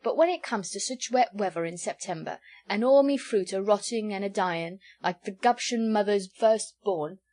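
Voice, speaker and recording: A woman doing a doleful old rustic villager, quiet and grumbling. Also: mid-range voice, normal speed, few pauses, close to an everyday voice. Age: 70s. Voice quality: quiet, mournful, rustic drawl. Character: elderly male village sage, doleful and pompous.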